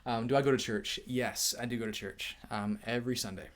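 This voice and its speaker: Casual male streamer answering chat questions, energetic and friendly, often laughing. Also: mid-range voice, fairly quick. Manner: upbeat, chatty, laughs often. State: overheated. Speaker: tech YouTuber hosting a live Q&A.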